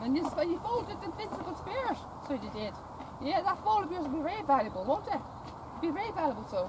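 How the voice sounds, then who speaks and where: A woman chatting outdoors in a paddock, coaxing a mare in baby talk, often repeating phrases and stammering over words. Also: high voice. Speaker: vlogger visiting a stud farm. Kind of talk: casual talk to a horse and companion. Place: outdoor paddock at a stud farm. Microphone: camera held by companion. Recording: outdoor wind and farm noise.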